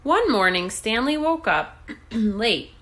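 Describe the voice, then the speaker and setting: A woman narrates a picture book for children, expressive and deliberate. Her voice is bright, with lively rises and falls and an unhurried pace. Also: a little high. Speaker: read-aloud host.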